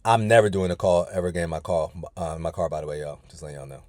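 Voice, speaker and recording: A man's voice: deep voice, very fast, a little mellow, dry recording, studio quality. A man coaching a weight-loss group on a video call from his car, talking casually and often calling the group "y'all". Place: inside his parked car.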